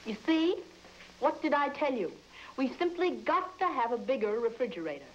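An actress playing an exasperated, teasing housewife in a vintage commercial, on a muffled, noisy old recording. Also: female voice, high voice, big pitch swings, moderate pace. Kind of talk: vintage commercial dialogue acting.

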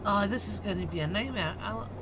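A woman's voice: mid-range voice, fast, background noise. A woman streaming a game live, muttering commands aloud and swearing at it. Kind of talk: live gameplay commentary.

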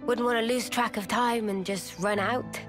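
A woman playing a composed, frank young fighter, measured and pensive, in a video game cutscene. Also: fairly high pitch, steady tone, over music, close to an everyday voice. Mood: sombre, reflective. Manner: measured, matter-of-fact. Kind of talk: anime-style game cutscene acting.

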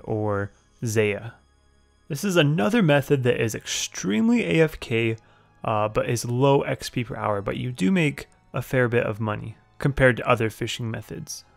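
Young guy talking thru a game guide, kinda gravelly, matter of fact, faint music under it. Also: mid-range voice. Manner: plain, matter-of-fact, with filler uhs. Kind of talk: voice-over tutorial narration.

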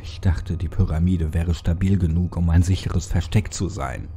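Man acting a despairing, bitter Egyptian man, gravelly. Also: low voice, normal speed, mellow. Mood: bitter, resigned. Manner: impassioned, raised, bitter delivery.

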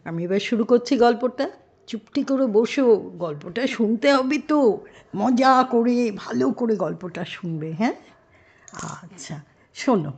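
A woman's voice: on the high side, animated, measured pace, darkish tone, slightly rough, muffled recording. An older woman telling a story to young children in a grandmotherly way, explaining and asking questions as she goes.

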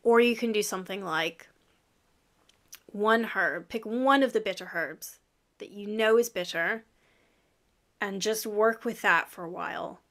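A woman's voice: mid-range voice, unhurried, pauses often, a bit bright. Chatty woman herbalist on a video call. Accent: American. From lives in Yorkshire, UK. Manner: chatty, laughs often, gestures while talking. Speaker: guest herbalist. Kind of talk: casual interview chat. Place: home office with framed flower print.

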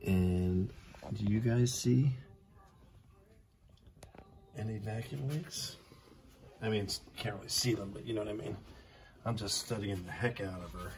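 A man's voice: on the low side, unhurried, long pauses, darkish tone, a little hiss or hum, poor audio. Chatty middle-aged man filming himself outdoors. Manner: chatty, self-deprecating, fumbling for words. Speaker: vlogger fixing his classic car. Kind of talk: casual road-trip vlog. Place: outdoors in a sunny desert yard. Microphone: handheld phone camera at arm's length.

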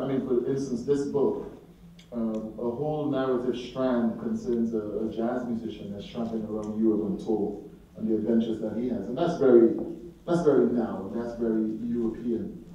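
A middle-aged man answers questions after a talk, thoughtful and searching for his words. His voice is very dark and mellow. The recording is heard from across an echoey seminar room and sounds slightly dull. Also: mid-range voice, moderate pace, recorded from a distance, a little hiss or hum. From Trinidad. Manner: reflective, searching for words, frequent "you know". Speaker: poet and novelist, guest speaker. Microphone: handheld mic.

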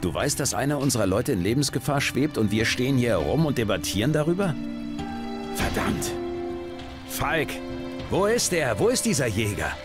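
An actor playing a loyal, level-headed warrior hero in a video game, terse and dry in manner. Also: male voice, medium pitch, big pitch swings, moderate pace, close to an everyday voice. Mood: urgent. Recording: background music. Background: game music.

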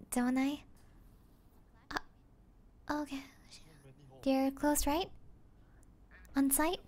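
Woman acting as a young gamer girlfriend, high gentle voice, very slow, breathy half-whisper, affectionate, flustered, ASMR roleplay. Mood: distracted, apologetic. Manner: breathy, often half-whispered, hesitant, teasing. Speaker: roleplay voice actor. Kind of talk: soft-spoken ASMR roleplay.

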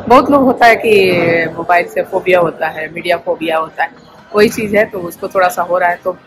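A woman's voice: on the low side, fairly quick, faint music behind, low-quality recording. A retired woman in her sixties with a chatty manner, vlogging casually to viewers about family life and an upcoming trip, recorded on a handheld phone. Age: 60s. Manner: chatty, talks to viewers and the grandchild. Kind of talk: casual family vlog narration. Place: home and shopping mall, indoors. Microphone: phone held at arm's length.

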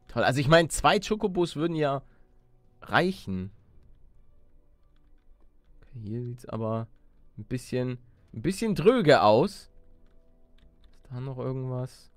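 A man's voice: medium pitch, no room sound. A man hosting a Let's Play, thinking aloud casually in slang peppered with English gaming words. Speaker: gaming video host.

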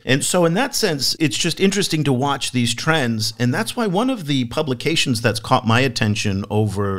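A man's voice: mid-range voice, fairly bright tone. A man hosting a podcast on world affairs, introducing a guest with enthusiasm and asking interview questions. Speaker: podcast host.

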